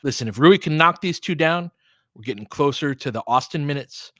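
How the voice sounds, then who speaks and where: American male fan-stream sports host, bright forward voice, very dry sound, informal and opinionated play-by-play. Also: fairly high pitch, expressive, a little fast, no echo. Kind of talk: basketball play-by-play mixed with casual fan chat.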